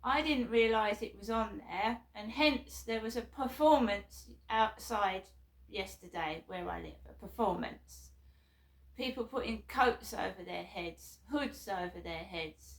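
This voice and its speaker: Middle-aged woman, vlogger, sore throat, slow rambling delivery full of fillers. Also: mid-range voice. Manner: halting and rambling, many ums and restarts. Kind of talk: casual talk to camera.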